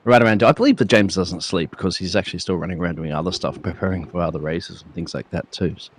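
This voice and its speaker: A man's voice: low voice, fast talker. A man co-hosting a live broadcast with casual, bantering commentary.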